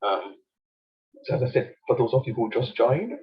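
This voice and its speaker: A man lectures a class with a stuffy, nasal voice from a cold, his tone slightly husky. He repeats key phrases and keeps asking whether the students follow him. The sound is a dull, lo-fi classroom recording. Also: medium pitch, lively intonation, moderate pace. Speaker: university lecturer.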